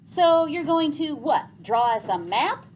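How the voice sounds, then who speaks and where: Woman voicing an anxious, high-pitched pleading boy. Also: big pitch swings, takes it slowly, a little mellow, exaggerated voice. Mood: exasperated. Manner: urgent, exasperated. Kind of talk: storybook character reading. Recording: faintly noisy classroom recording.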